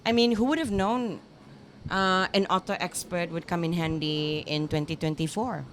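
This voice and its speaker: A woman interviewer with a Malaysian accent and a fairly low voice. She speaks effusively and informally, as a host chatting with a guest. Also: fairly even intonation, normal speed, slight background noise. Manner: effusive, switches between English and Malay. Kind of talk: casual conversational interview.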